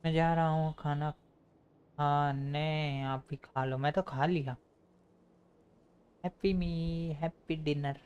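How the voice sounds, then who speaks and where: A man's voice: a little high, fairly even intonation, fast, pauses often, darkish tone, clear, resonant tone, highs cut off. A man with an Indian accent, a native Hindi speaker, chatting casually while livestreaming a game.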